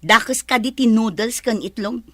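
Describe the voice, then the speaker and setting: A woman's voice: on the high side, measured pace, no echo. A woman playing a harsh, stingy aunt who scolds and bullies, with an Ilocano accent in a radio drama. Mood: irritated. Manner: scolding, sharp.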